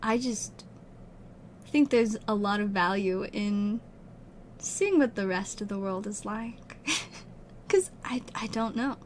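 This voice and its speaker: A woman's voice: medium pitch, lively intonation, takes it slowly, long pauses, slight background noise. A twenty-year-old woman from Utah chatting casually with livestream viewers, rambling with lots of fillers and laughs, her voice strained and wearing out. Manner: rambling, giggly, many "like" fillers. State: losing her voice, strained throat. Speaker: streamer.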